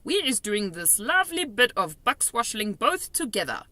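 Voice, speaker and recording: Woman voicing an excitable old giant, pitched up, bright. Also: moderate pace, no echo. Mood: excited. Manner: earnest, emphatic, mangled grammar and nonsense words. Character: kindly, excitable old male giant with muddled words. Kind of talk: children's storybook character voice.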